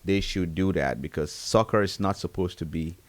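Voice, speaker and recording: A man's voice: low-pitched, moderate pace. A man hosting a podcast, introducing and interviewing his guest in a casual way. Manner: warm introductions, frequent laughs and agreement. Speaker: podcast host.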